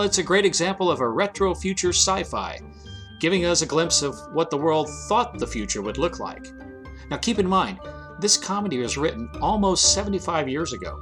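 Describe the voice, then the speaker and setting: A man's voice: fairly high pitch, fairly bright tone. A man giving scripted introduction and narration as the announcer of a radio show. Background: music.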